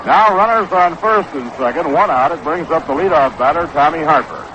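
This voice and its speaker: A man calling baseball play-by-play on 1960s radio, measured and descriptive, on an old broadcast recording. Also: on the high side. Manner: measured, descriptive calls that quicken on action. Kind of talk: 1960s live radio sports commentary. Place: outdoor ballpark broadcast position. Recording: noisy, dull vintage 1960s radio broadcast.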